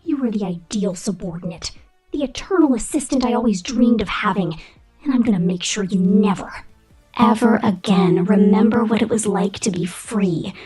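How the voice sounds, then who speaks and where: Woman as menacing female robot boss, possessive, pompous corporate manner, slightly breathy, ASMR monologue, soft music behind. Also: medium pitch, natural voice, poor audio. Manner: commanding, corporate-pompous, deliberate.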